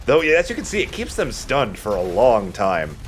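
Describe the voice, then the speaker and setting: A man with a fairly low voice commentates a video game in a casual, joking way. He thinks aloud and swears now and then. There is light noise in the background. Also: wildly swooping pitch, moderate pace. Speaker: video game let's player. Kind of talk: casual gameplay commentary with friends.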